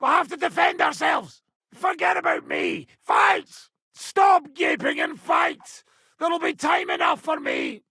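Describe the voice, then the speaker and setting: An actor playing a brash, foul-mouthed medieval mercenary commander in a video game, with a rough, raspy voice and a coarse, sardonic swagger. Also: male voice, very high-pitched, wildly swooping pitch, unhurried, bright, forceful tone, no echo, shouting, studio quality, exaggerated voice. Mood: furious, battle shouting. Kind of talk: video game character acting.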